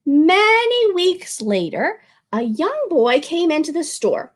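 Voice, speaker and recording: A woman reading a picture book aloud as a children's librarian, expressive, drawing out words and speaking to young listeners. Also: extremely high pitch, slow.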